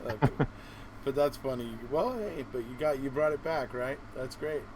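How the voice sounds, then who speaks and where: A man's voice: medium pitch, moderate pace, background noise. A man hosting a casual live interview, reacting with folksy exclamations and reading out questions. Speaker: host.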